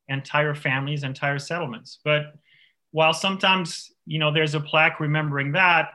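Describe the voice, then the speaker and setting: A man's voice: a little high, bright, forceful tone. A man from France, an author, talking in a radio interview in a thoughtful way, with many restarts and fillers. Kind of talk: radio interview, reflective conversation.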